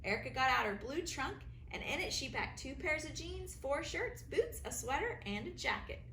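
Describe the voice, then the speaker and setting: Bright, animated woman reading storybooks, roomy echo. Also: sits high, normal speed. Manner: expressive, sing-song rhyming delivery for young listeners. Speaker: kindergarten teacher reading her own stories. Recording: roomy echo, faint background noise.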